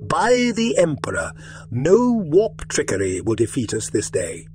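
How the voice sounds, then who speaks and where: A man voicing a tense ship commander who speaks in a hushed, urgent half-whisper. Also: fairly high pitch, huge pitch swings, a little slow, faint music behind. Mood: grim.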